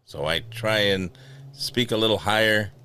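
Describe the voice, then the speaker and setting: A man's voice: lowish voice, moderate pace, pushed and bright, a little hiss or hum. A man hosting a casual podcast chat, teasing and picky about music. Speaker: podcast host.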